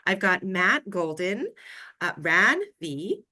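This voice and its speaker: Female panel moderator and career coach, fairly low pitch, bright, forward tone. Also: big pitch swings, slow.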